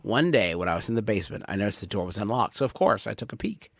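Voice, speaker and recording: A man's voice: lowish voice, lively intonation, fast talker, no room sound. Male comedy podcast host, dry close sound. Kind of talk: casual comic banter and reading out listener questions.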